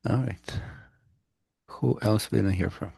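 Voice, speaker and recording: A man's voice: lowish voice, a little slow, vocal fry, warm tone, breathy edge, no room sound, studio quality. A man teaching as a professor on a video call, talking in a rambling, anecdotal way and often restarting his sentences. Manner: rambling, anecdotal, self-interrupting. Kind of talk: online class introductions.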